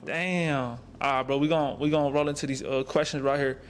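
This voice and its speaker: A man's voice: mid-range voice, steady tone, normal speed. A man casually interviewing someone, slangy and rambling.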